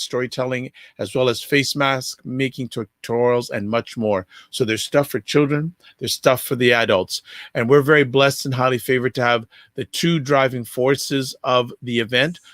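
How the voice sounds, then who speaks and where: A man's voice: mid-range voice, a bit bright, dry recording. Stumbling, cheerful male show host. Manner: stumbles over words, laughs it off. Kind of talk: online show interview.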